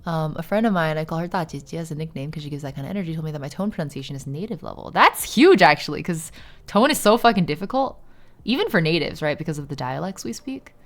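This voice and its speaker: Chatty woman, lower voice. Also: expressive, quick pace. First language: Shanghainese. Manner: chatty and explanatory, heavy filler words, casual swearing. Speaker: streamer. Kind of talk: casual chat while crafting on stream.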